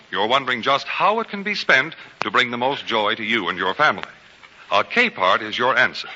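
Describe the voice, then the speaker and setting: A man's voice: medium pitch, bright, forceful tone. An American man working as a radio announcer, reading scripted sponsor copy in a formal, emphatic 1940s style. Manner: emphatic, formal, polished phrasing. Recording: muffled 1940s radio broadcast, hall echo.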